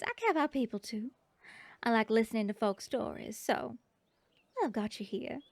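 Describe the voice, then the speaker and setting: A woman's voice: on the high side, no echo, heavily acted. A woman voicing a sweet Southern bee girl. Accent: Southern US drawl. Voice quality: drops to whispers at times. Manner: soft-spoken, sweet-talking, calls listener sugar. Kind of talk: ASMR roleplay.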